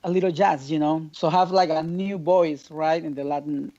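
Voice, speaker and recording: A man with a Mexican accent talks haltingly, often stopping to search for words. His voice is mellow and warm. It sounds dull and lo-fi over the video call. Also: a little high, fairly even intonation. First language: Spanish. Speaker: percussionist guest. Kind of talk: casual remote interview.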